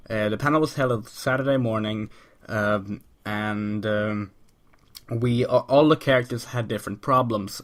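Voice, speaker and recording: A man hosting his own podcast while sick, talking in a rambling, chatty way with many ums and restarts. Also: lowish voice. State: sick, voice a bit off. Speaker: podcast host. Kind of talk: casual solo podcast monologue.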